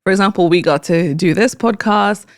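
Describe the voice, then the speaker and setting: A woman's voice: mid-range voice, normal speed. A woman hosting a conversational interview in an earnest, effusive manner. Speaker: podcast host.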